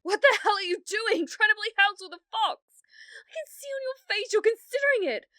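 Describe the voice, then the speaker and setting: A furious young woman, possessed and fighting back, acted by a woman, with a piercingly high, sharp voice. She is abrasive, accusing and quick to swear, in an ASMR roleplay performance. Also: lively intonation, no room sound, heavily acted. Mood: outraged, alarmed. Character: furious, foul-mouthed young human woman, possessed fiancée.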